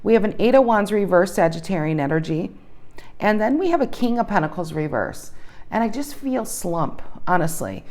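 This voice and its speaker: A woman's voice: mid-range voice, very animated, moderate pace, darkish tone. A woman giving a casual tarot reading to her viewers, thinking aloud and repeating her questions as she pulls cards, her voice slightly worn. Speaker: tarot reader.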